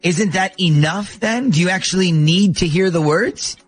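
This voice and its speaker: A man's voice: sits high, normal speed. A man hosting a morning radio show, bantering with a caller in an upbeat phone-in style.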